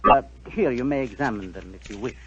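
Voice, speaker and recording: An actor playing a ruthless, formal Balkan official, speaking deliberately in 1940s radio drama style. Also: male voice, mid-range voice, fairly bright tone, slight background noise, natural voice. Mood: coldly formal. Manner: formal, deliberate, hesitating with small pauses. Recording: dull 1940s radio recording.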